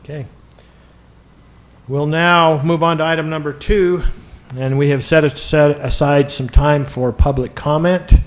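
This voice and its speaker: A man's voice: a little high, fairly even intonation, a little slow. A man speaking formally as he runs a public meeting and moves through the procedure. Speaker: council meeting chair.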